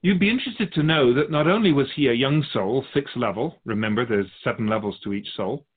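A man acts as a wise spirit guide who answers questions about human souls. The delivery is measured and philosophical, gently instructive, with a medium male pitch that rises and falls in an animated way. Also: breathy edge, phone-quality sound. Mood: reflective, explaining.

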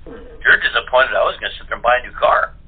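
A man's voice: medium pitch, fast, husky. A man chatting casually as an interview guest, over a muffled telephone-quality connection. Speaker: voice actor guest playing a narrator.

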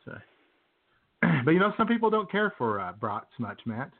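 A man's voice: a little high, sing-song, normal speed. A man hosting a radio show with casual, folksy, rambling comedic banter. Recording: tinny phone-like sound, faint noise.

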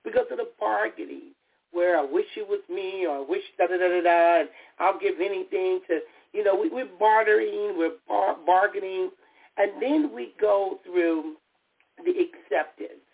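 A woman hosts her own radio talk show, her voice raspy from a lingering cold and gravelly with fry. She talks in a heartfelt, personal way, stumbling and restarting now and then. The audio comes through a narrow, tinny phone line. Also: medium pitch, normal speed. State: recovering from a cold. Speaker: radio show host and therapist. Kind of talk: solo internet radio talk.

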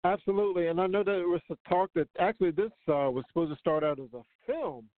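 A man with a fairly high voice hosts a casual radio interview. His voice comes through a narrow, tinny phone-like line with a dry sound. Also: fast talker, no room sound. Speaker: radio show host.

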